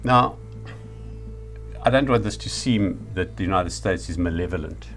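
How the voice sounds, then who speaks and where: This is a mature South African man with a low-pitched voice. He speaks in a reflective lecturing style, deliberate and emphatic in how he lays out each point. Faint music sits behind his words. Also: fairly bright tone. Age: middle-aged or older.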